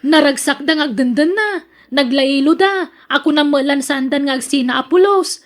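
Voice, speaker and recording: A woman's voice: sits high, moderate pace, natural voice. A woman with an Ilocano accent acting as a jealous, suspicious wife in a radio drama, insistent and scolding. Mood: distrustful, stubborn.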